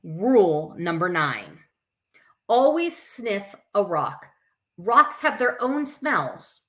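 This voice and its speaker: A woman with a bright, forward voice hosts a story time. She reads in an expressive storybook style and slips into informal asides to her listeners. Her pitch sits in an ordinary middle range for a woman. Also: takes it slowly. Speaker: camp staff story time host. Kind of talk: live picture-book read-aloud with chatty asides.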